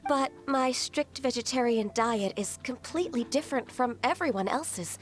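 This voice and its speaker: A woman voicing a gentle, shy, dutiful half-human young woman in a video game, speaking politely and formally with some hesitance. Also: on the high side, moderate pace, close to an everyday voice. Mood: reserved, wistful. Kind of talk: video game character dialogue.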